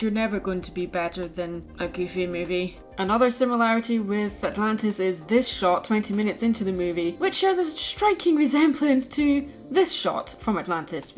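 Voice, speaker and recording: A woman giving opinionated film commentary as a video essayist, conversational with exclamatory asides. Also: medium pitch.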